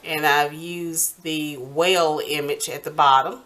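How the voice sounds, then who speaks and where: A woman hosting a nail art tutorial in casual, step-by-step talk, halting and pausing mid-sentence. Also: sits low, steady tone, a bit bright.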